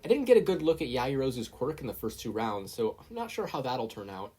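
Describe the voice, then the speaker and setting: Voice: mid-range voice, big pitch swings, a little fast, mellow, husky. A narrator voicing an earnest, curious, friendly teenage boy student in a story.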